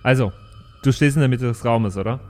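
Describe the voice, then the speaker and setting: A man's voice: medium pitch, brisk, darkish tone. A man chatting casually as a player in an improvised tabletop role-playing podcast. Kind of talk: casual improvised game banter. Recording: faint music under the voice.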